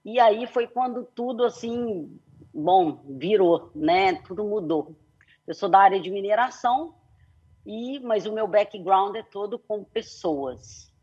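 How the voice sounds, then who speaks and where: A middle-aged woman with a Mineiro Brazilian accent speaks as a panel guest over a video call. She tells her story in long, flowing stretches and keeps coming back to small fillers. Her voice is slightly husky, and the audio sounds muffled. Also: medium pitch, expressive, slow, darkish tone. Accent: Mineiro Brazilian Portuguese. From Belo Horizonte, Brazil. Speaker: guest speaker, company CEO.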